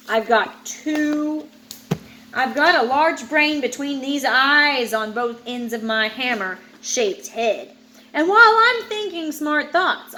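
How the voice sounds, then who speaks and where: A woman voicing a brainy, self-satisfied hammerhead shark in a storybook, smug and deliberate. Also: high-pitched, bright, forceful tone, a little hiss or hum, exaggerated voice, low-quality recording. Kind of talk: children's picture book read-aloud.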